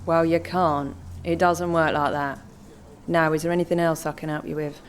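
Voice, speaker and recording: An actor with a British accent playing a dry, teasing, unimpressed police sergeant in a radio comedy. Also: male voice, fairly high pitch, level tone, moderate pace, a little hiss or hum, natural voice. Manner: sarcastic, dismissive.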